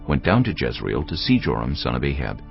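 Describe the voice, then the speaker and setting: A man's voice: rumbling low, sing-song, fairly quick, creaky. A man narrating scripture solemnly for a dramatized audio production. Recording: dull lo-fi audio with music.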